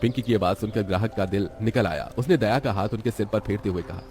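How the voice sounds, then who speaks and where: A man's voice: lowish voice, quick pace, a little mellow, a little hiss or hum. A man narrating a scripted children's moral story in a dramatic way.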